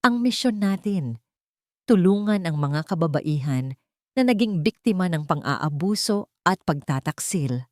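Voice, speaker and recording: A woman's voice: lowish voice, lively intonation, unhurried, no echo, studio quality. A woman voicing a determined, compassionate mother and chief executive in an audio story, firm and authoritative. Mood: resolute, hopeful.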